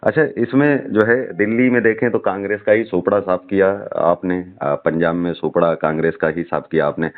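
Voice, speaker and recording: A man's voice: low-pitched, normal speed, hardly any pauses. A man chatting casually about politics over the phone, putting probing questions to the other speaker. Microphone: phone call. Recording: muffled, narrowband phone call recording.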